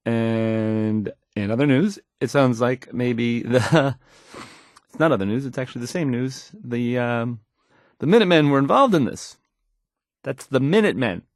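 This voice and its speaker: A man's voice: mid-range voice, no echo, studio quality, lightly acted. A man playing a timid, awkward young radio DJ in a video game, hesitant and apologetic as he stammers through the news in a shaky voice. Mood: flustered. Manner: stammering, halting, apologetic, trailing off. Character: timid, awkward young male radio DJ, anxious and unsure of himself.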